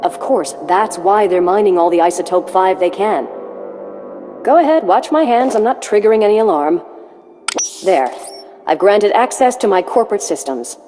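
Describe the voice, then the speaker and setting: A proud, sardonic female tycoon in a game cutscene, acted by a woman. She bargains with bitter defiance in a mid-pitched voice. A long reverb trails her words, with music playing behind. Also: animated, normal speed, natural voice. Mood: grim, bargaining. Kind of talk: video game cutscene acting.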